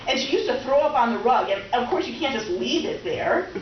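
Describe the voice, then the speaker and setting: A woman's voice: on the high side, very animated, brisk. A woman playing a dramatic, scatterbrained middle-aged houseguest who rambles and overshares in a comic stage reading. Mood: indignant. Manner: rambling, self-absorbed, theatrical. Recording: distant echoey room, muffled, faint noise.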